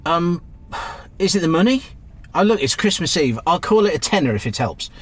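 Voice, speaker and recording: An actor playing a chatty, good-hearted but easily exasperated British taxi driver in a radio drama. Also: male voice, on the high side, very animated, moderate pace, slightly rough. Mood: concerned. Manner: chatty, colloquial, calls passenger love.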